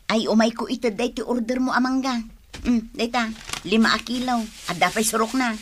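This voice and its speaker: An actress playing a brash, argumentative married mango seller in an Ilocano radio comedy-drama. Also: female voice, fairly high pitch, moderate pace, a little hiss or hum, natural voice. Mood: brisk, businesslike. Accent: Filipino, Ilocano.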